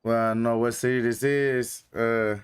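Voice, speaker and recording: A man's voice: medium pitch, little pitch movement, unhurried, smooth. Rambling young man streaming a game. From North Carolina. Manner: rambling, filler-heavy, slangy. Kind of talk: casual live-stream chat.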